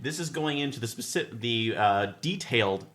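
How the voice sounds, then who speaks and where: A man's voice: medium pitch, animated, normal speed, a bit bright. A man on a podcast panel, talking casually and explaining tabletop game material.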